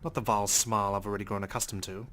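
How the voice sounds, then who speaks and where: A man's voice: fairly low pitch, steady tone, very fast, a bit bright, slight background noise. A man reading visual novel text aloud as dramatic first-person narration. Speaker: let's play host reading game text aloud.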